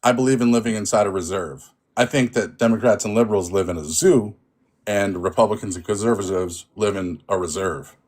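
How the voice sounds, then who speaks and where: A man's voice: fairly low pitch, fairly quick, darkish tone. A man in a casual political debate, laid-back and rambling, teasing the others.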